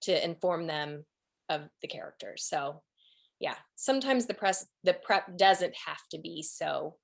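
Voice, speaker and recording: Expressive woman in a casual interview. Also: on the low side, a little slow, vocal fry. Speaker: audiobook narrator and producer, interview guest. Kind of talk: casual conversational interview answers with anecdotes.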